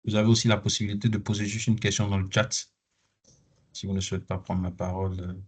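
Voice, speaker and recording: A man moderating an online conference over a video call, polite and measured. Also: lowish voice, level tone, breathy edge.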